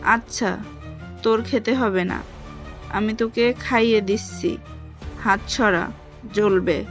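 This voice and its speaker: A woman's voice: medium pitch, fairly even intonation, slow pace, faint music behind. A woman acting a caring, scolding young friend in an audio story drama. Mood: concerned. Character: caring, scolding young servant-family girl, loyal friend.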